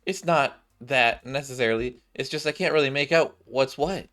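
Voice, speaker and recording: Gentle, easily flustered lady cop for a game played by a man, mild delivery, bright tone. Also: mid-range voice, moderate pace, close to an everyday voice. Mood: uneasy.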